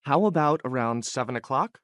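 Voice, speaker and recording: A male voice actor plays a casual, friendly young man in an English textbook dialogue. His intonation is lively and the recording is very dry. The lines are scripted. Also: mid-range voice, brisk, no room sound, natural voice.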